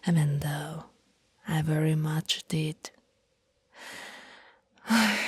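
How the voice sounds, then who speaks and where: Woman as a breathy, whispering, lovestruck female vampire. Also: on the low side, dark tone, dry recording, full character voice. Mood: regretful, tender. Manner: breathy, slow, archaic formal phrasing with sighs. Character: centuries-old lovestruck female vampire, sorrowful and tender. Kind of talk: whispered ASMR roleplay.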